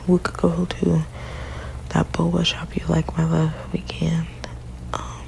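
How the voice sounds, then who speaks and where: A woman whispering softly and haltingly in an ASMR partner roleplay, often restarting her sentences. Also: low voice, completely flat delivery, vocal fry, a little hiss or hum, low-quality recording.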